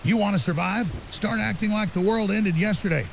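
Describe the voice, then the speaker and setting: A man's voice: high voice, fairly quick, vocal fry. A man playing a grim, urgent conspiracy theorist as a guest on an apocalypse podcast drama, emphatic and ominous in clipped, certain statements. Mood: grim, foreboding. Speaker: interview guest. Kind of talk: fictional apocalypse podcast interview. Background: rain and faint hiss.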